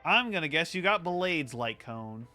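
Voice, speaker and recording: Male streamer, bright forceful voice, casual sweary chat, mid pitch, faint music behind. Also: very animated, unhurried. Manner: informal, sweary. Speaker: game streamer. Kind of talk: casual chat over gameplay.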